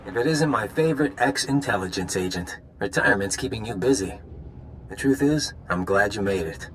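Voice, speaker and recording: Suave low-voiced male villain. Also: animated, fairly quick, a bit bright, a little hiss or hum. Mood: cordial, wry. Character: suave male conspirator villain, idealistic, cordially menacing. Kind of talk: video game dramatic acting.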